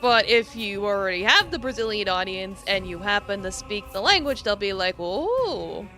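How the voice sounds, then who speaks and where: Chatty bright-voiced woman streamer. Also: mid-range voice, lively intonation, normal speed, faint music behind. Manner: chatty, giggly, rambling with many fillers. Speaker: VTuber streamer. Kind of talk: casual live-stream chat while gaming.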